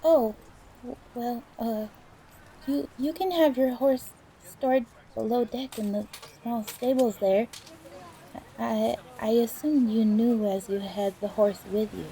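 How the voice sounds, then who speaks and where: Flustered young charterer, acted by a woman: soft-toned female voice, slow halting delivery, timid stammer, audio roleplay. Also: on the high side, steady tone, poor audio. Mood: nervous, helpful. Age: young adult.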